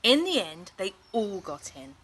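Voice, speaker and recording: A British woman narrating a children's story in an expressive, clearly paced teacher's manner. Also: medium pitch, unhurried. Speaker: assistant head teacher reading a story.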